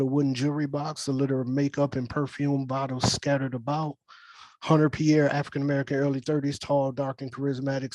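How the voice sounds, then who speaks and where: A man's voice: mid-range voice, darkish tone, no room sound, low-quality recording. A man leading an online table read, casually reassuring people and narrating script action in an informal way. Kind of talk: casual directing talk and script reading. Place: dry close room, virtual call. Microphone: video call.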